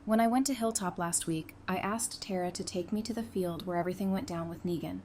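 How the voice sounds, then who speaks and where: A woman's voice: lowish voice, brisk, darkish tone, lightly acted. A woman playing a tender, devoted bride in an audio drama, speaking earnestly and emotionally. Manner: earnest, emphatic, emotional delivery. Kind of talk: fan audio drama acting. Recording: faint background noise and ambience.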